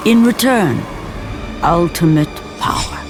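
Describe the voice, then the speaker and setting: A woman performing as the grave narrator of an epic myth, speaking in a measured, solemn way. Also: lowish voice, lively intonation, over music. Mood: solemn, foreboding.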